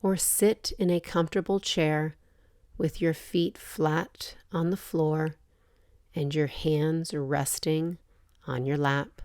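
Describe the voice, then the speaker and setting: A woman with a low voice leads a guided meditation. Her delivery is very slow and measured, with pauses between short phrases. She sounds gentle and soothing as she gives relaxation instructions. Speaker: yoga nidra meditation guide.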